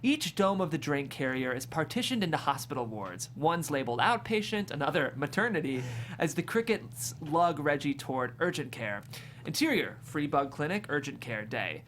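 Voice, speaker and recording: Stage directions reader: mid-pitched, descriptive, amused, scripted comedy narration, slight room noise. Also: big pitch swings, moderate pace, fairly bright tone. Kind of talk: scripted screenplay narration at a table read.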